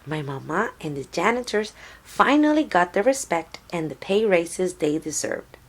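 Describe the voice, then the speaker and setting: A woman reading a children's storybook aloud in a deliberate, expressive storytelling manner. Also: on the low side. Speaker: storybook reader.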